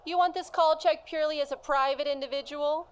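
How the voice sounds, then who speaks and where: A woman playing an officious, composed chief telephone operator in a radio play, brisk and bureaucratic. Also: sits high, fairly even intonation, close to an everyday voice. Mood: coolly official.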